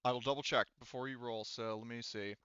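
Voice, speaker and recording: A man running a tabletop roleplaying game as game master, improvising narration in a casual, profane, halting way. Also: mid-range voice, fairly even intonation, sharp tone, clean tone, no room sound, muffled recording.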